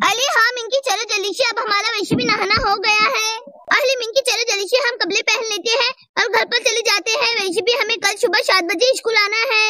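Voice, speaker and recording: A woman voicing an excitable, easily embarrassed little schoolgirl in a children's story, with exclamatory, sing-song, repetitive delivery. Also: very high voice, fairly quick, distant mic, heavy background noise. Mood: anxious.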